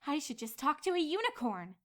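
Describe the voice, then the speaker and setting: Woman as a high, bright, earnest girl unicorn. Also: big pitch swings, brisk. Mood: explaining. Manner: insistent, bristling. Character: earnest, indignant young female unicorn in a pony cartoon. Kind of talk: cartoon fan-fiction voice acting.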